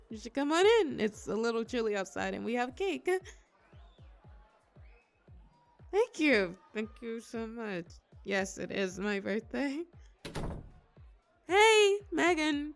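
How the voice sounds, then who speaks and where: A young woman acting a shy, awkward, gently teasing birthday girl in a scripted audio roleplay, with a halting, expressive, conversational delivery. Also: mid-range voice, vocal fry. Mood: bashful, pleased, then flustered, exasperated. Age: young adult. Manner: bashful, halting, giggly, expressive.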